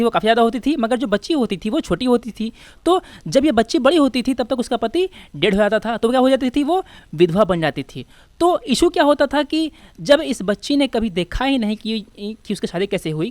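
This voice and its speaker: A high-voiced woman with an Indian accent teaches like an exam coach. Her pitch moves up and down a lot as she explains things informally to her students, and the sound is close and dry. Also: no echo. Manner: explanatory, addresses students as friends, switches between two languages. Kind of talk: informal lecture explaining quiz questions.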